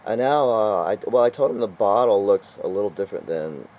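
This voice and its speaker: A man's voice: lowish voice, animated, brisk, darkish tone, muffled recording, a little hiss or hum. An older man talking casually in a vlog, rambling and exasperated, with chuckles and restarts along the way. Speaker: vlogger.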